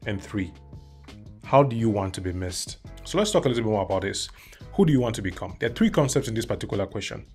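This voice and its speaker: A man in his thirties from Cameroon, giving an earnest, measured self-help talk to camera and listing his points one by one. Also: on the low side. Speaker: vlogger.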